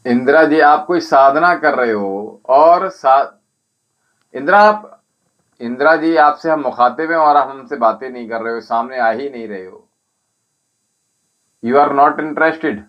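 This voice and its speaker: A man who teaches spirituality and Ayurveda, answering viewers' comments on a livestream and calling each of them by name in a measured, instructive way. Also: medium pitch, dull audio. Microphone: livestream setup.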